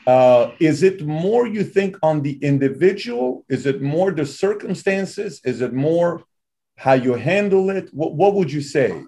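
A man from Iran interviewing a guest, asking thoughtful, halting questions full of fillers. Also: a little high, lively intonation, warm tone, a little hiss or hum. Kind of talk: conversational interview.

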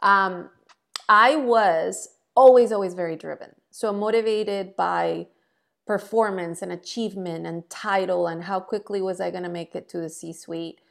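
A woman, a guest on an interview, telling her story in a lively, frank and self-deprecating way. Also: mid-range voice, unhurried, gravelly. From Colombia. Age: 40s. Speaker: guest, entrepreneur.

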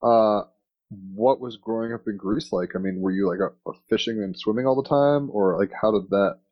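A man's voice: lowish voice, moderate pace. Laid-back man interviewing, dull lo-fi sound. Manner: rambling, laid-back slangy phrasing. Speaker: interviewer and host. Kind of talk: casual conversational interview.

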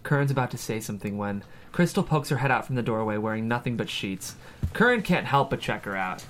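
A man's voice: medium pitch, lively intonation, fairly quick, a bit bright, a little hiss or hum. A man narrating the stage directions at a screenplay table read, in scripted narration.

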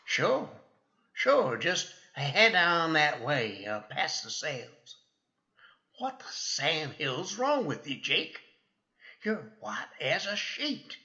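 A man acts out a folksy small-town sheriff from an old-time radio western. He hems and haws in a slow, bright Western American drawl, on a dull lo-fi recording. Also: fairly high pitch, very animated, heavily acted. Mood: puzzled.

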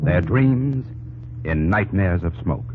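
A man's voice: sits low, animated, slow pace. A man narrating a historical radio drama in a solemn, declamatory old-time style. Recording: muffled old radio broadcast over loud music. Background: loud dramatic music score.

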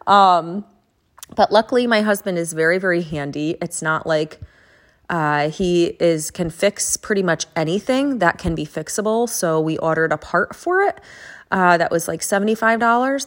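A young woman with a notably low voice hosts a casual chat and prayer podcast. She sounds congested from a head cold. Her talk rambles along in a chatty way, full of fillers. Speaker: podcast host.

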